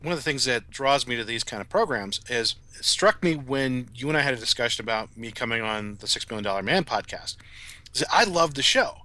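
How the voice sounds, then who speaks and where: A man's voice: medium pitch, animated, a little fast, pushed and bright, no echo. A middle-aged man speaking as a podcast guest in casual, reflective conversation.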